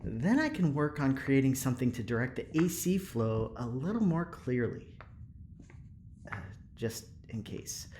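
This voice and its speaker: A man's voice: medium pitch, big pitch swings, unhurried, a little mellow, a little hiss or hum. An actor playing an eager, earnest male prison engineer in an audio drama, rambling hesitantly with nervous laughter. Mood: chatty, upbeat. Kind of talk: audio drama acting, naturalistic.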